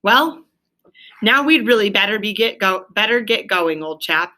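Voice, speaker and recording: A woman's voice: medium pitch, animated, measured pace, pushed and bright. A woman voicing a kindly male rat in a children's storybook, a loyal companion with an old-fashioned chummy manner. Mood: brisk, pleasant. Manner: hearty, old-fashioned, encouraging. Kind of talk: children's storybook read aloud.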